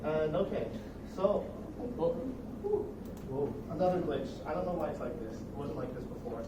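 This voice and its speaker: A man's voice: fairly high pitch, normal speed. A young man giving a casual, rambling, jokey student demo of his project. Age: young adult. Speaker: student presenter. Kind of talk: informal project demo presentation. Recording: echoey noisy room, distant microphone.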